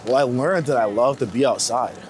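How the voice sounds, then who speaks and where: A man's voice: mid-range voice, fairly quick, a little mellow. Candid American man chatting over street noise. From United States, Florida. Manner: informal and candid, with slang and swearing. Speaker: street photographer being interviewed. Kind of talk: casual reflective chat. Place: outdoors on New York city streets. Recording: outdoor street noise with passing vehicles. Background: city traffic ambience.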